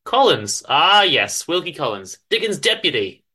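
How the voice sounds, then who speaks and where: A man's voice: on the high side, big pitch swings, normal speed, sharp tone, voice pushed up, exaggerated voice. A man voicing a cheerful, chatty young book lover with an enthusiastic, slightly flourished way of talking in a story reading. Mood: delighted.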